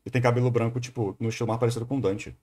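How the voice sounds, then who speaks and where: A man's voice: medium pitch, fairly even intonation, rapid-fire, mellow. A man with a Brazilian accent giving casual, slangy, argumentative reaction commentary as a streamer.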